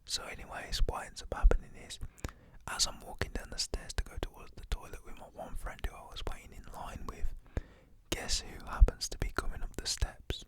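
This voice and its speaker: A young British man whispers a casual, slangy, rambling story in an ASMR style. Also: a little fast.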